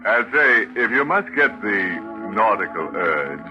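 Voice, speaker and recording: Male 1940s radio horror host, lowish voice, droll, mock-sinister, muffled old recording, music behind. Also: sing-song, a little slow. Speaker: host of a radio mystery series.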